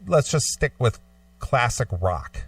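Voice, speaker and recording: A man co-hosting a casual, opinionated radio discussion, explaining his points haltingly and often repeating phrases. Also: mid-range voice, sing-song, gravelly, recorded from a distance, faint music behind. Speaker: talk show co-host.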